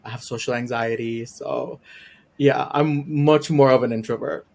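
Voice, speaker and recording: A man's voice: mid-range voice, fairly even intonation, normal speed, vocal fry, darkish tone. A man from Puerto Rico whose first language is Spanish, chatting as a podcast guest in a shy, hesitant way with many ums and small laughs. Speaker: guest, YouTube reactor. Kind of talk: casual chat interview.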